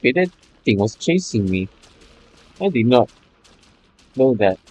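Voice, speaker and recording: A man's voice: mid-range voice, big pitch swings, unhurried, darkish tone. A man reading a video game's story aloud, stumbling over some lines, with casual commentary. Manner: stumbles over read lines, re-reads phrases. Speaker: video game story narrator and player.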